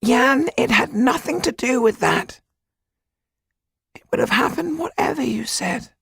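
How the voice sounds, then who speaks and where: A man voicing a small, affectionate, excitable older man in an audiobook, with a high, husky, creaky voice and an emphatic, fussing manner. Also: a little slow, no room sound, studio quality, full character voice. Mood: earnest, tender. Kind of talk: audiobook character acting.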